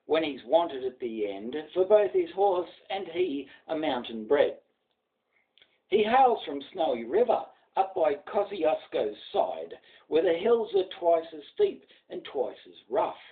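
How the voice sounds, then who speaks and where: Earnest Australian horseman, read by a man, lively pitch. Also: distant mic, narrow, tinny audio, heavily acted. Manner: earnest, persuasive. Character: loyal seasoned horseman Clancy, vouching earnestly. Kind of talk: poem recitation.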